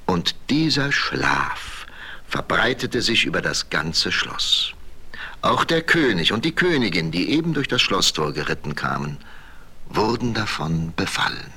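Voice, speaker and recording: A man's voice: low voice, big pitch swings, unhurried, pushed and bright, slightly rough. A man narrating a scripted fairy tale in a theatrical, dramatic storytelling manner.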